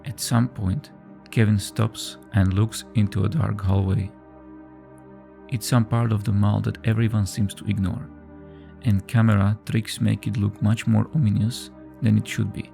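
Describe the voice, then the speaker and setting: A man reading a scripted horror story as a narrator, in a measured, subdued storytelling manner. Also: lowish voice, little pitch movement, creaky, dark tone. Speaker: creepypasta narrator. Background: background music.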